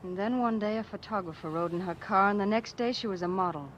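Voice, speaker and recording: A woman's voice: mid-range voice, quick pace, natural voice. A woman acting a fragile, anxious young working woman in a 1940s radio drama. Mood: subdued, wistful. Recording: muffled, noisy old radio recording.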